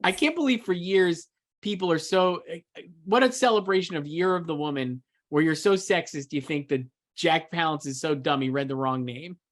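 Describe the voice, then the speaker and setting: A man's voice: a little high, moderate pace. A man co-hosting a casual chat about film awards, speaking emphatically with some mild swearing. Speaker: awards podcast co-host and pundit.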